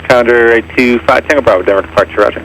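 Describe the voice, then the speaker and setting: Fast-talking male air traffic controller on radio. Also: mid-range voice, fairly bright tone, rough recording. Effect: aviation radio. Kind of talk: clipped radio clearances. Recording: aviation radio with faint background noise.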